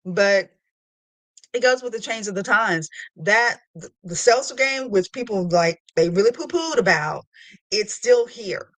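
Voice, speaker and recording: Lady podcast host, playful n effusive, casual chat, mid pitch, dry close sound. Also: animated, measured pace, a bit bright, no room sound.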